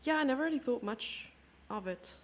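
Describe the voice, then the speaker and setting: A woman's voice: high voice, lively intonation, brisk, slight background noise. A South African woman giving live chess commentary and chatting with her viewers, reading their questions aloud and answering them. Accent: South African English.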